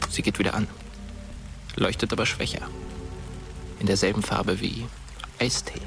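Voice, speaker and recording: An actor playing a sombre, watchful storyteller, narrating a dark radio play in a measured, hushed way. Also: male voice, on the low side, fairly even intonation, creaky, over music. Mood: ominous.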